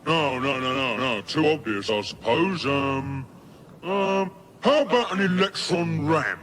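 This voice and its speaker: A man's voice: a little high, lively intonation, a little slow, a crowd in the background. An actor playing a big, boastful, dim-witted battle robot in a radio sci-fi comedy, blustering and stammering as he puzzles things out. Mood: eager, puzzled.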